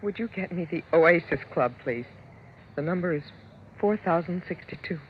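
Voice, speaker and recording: An actress playing a frightened wife in an old radio drama, earnest and dramatic. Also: female voice, fairly low pitch, lively intonation, moderate pace, slight background noise, lightly acted. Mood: anxious, polite. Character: frightened wife who believes her husband is trying to kill her. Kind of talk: 1940s-1950s radio drama acting. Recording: dull old-time radio recording with music behind.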